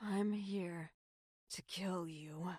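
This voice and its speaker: A woman playing a vengeful, bitter young woman in an audio drama, speaking with seething, deliberate intensity. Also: lowish voice, fairly even intonation, darkish tone, dry recording, studio quality. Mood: quiet seething.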